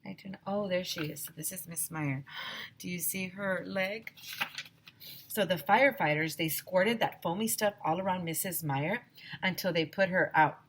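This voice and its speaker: A woman teacher reading a children's story aloud expressively, with an animated, emphatic manner, addressing young listeners directly. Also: on the low side, normal speed, a little hiss or hum.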